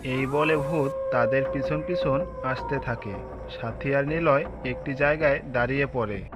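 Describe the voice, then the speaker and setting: A man's voice: mid-range voice, normal speed. A man narrating a scripted cartoon story, with music playing behind his voice.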